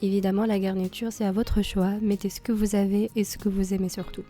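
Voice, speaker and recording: Hoarse woman with a mellow voice. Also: mid-range voice, a little fast, faint music behind. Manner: calm, explains step by step with small fillers. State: slightly hoarse from a cold. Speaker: cooking channel host. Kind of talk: cooking tutorial voice-over.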